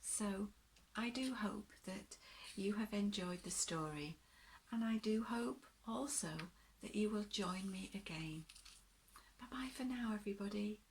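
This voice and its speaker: A woman storyteller reads to children at a slow, deliberate pace. Her delivery is gentle and expressive, with asides to the listeners. There is faint noise in the recording. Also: medium pitch, poor audio. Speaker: storyteller reading a picture book to children.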